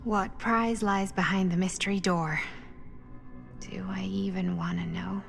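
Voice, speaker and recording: A woman's voice: medium pitch, steady tone, creaky. A woman playing a wry young explorer in a video game, muttering dry jokes to herself. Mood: wry musing. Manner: slow, dry, sardonic self-talk. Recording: music behind.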